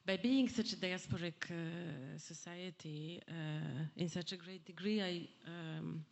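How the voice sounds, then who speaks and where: A woman asking a question at a public event, speaking haltingly with many fillers and restarts. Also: on the low side, gravelly. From Poland. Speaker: audience member asking a question. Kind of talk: hesitant public remarks. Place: theatre hall at a public event.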